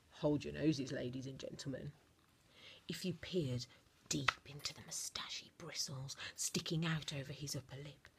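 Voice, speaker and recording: A woman reading a children's storybook aloud like a teacher, expressively, with theatrical emphasis and deliberate dramatic pauses. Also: low voice, a little hiss or hum.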